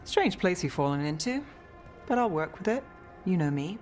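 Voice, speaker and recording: Cool, skeptical, low-voiced mage detective. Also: female voice, big pitch swings, moderate pace, a little mellow, faint music behind. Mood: wry. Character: cool, skeptical female mage investigator, pragmatic and wry. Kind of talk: cinematic video game dialogue.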